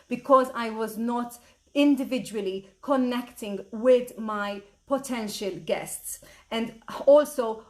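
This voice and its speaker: Middle-aged Maltese-born woman, business mentor, bright and eager live-stream delivery, slightly high voice. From Malta. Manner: upbeat, eager, repeats "super" a lot, greets viewers by name. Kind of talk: live-streamed talk to viewers. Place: at home at a computer.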